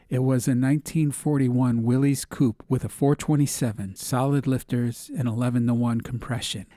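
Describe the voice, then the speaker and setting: A man's voice: medium pitch, mellow. A man reading an atmospheric story as an audiobook narrator, in a scripted literary style.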